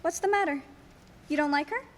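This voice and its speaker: Young woman acting a wry, high-voiced small-town girl. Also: fairly quick, natural voice. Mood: coolly probing. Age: teenager or young adult. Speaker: high school student actor. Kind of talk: filmed stage radio play acting. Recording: echoey hall with background hiss.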